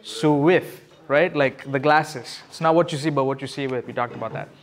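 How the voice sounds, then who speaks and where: A man's voice: mid-range voice, normal speed. A man from Sri Lanka teaching as a youth pastor in a casual, question-driven way, explaining things informally. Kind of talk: casual interactive teaching talk.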